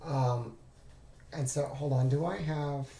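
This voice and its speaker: A man with a raspy, hoarse voice recovering from laryngitis, giving an informal, halting screen-share tutorial as a trading instructor. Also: mid-range voice, fairly even intonation, warm tone, slight background noise. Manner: halting, thinking aloud. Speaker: trading instructor reviewing charts. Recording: roomy, echoing voice recording.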